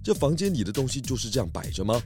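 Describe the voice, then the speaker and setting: An actor playing a calm, methodical detective chief with measured, polite, reassuring questioning. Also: male voice, medium pitch, natural voice. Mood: probing. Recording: dry close voice over faint music.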